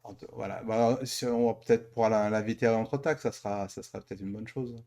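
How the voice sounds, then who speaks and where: A man's voice: fairly low pitch, steady tone, quick pace, dark tone. Hesitant, rambling man hosting a show. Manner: hesitant, rambling, repeats phrases. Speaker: host. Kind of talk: casual online interview show.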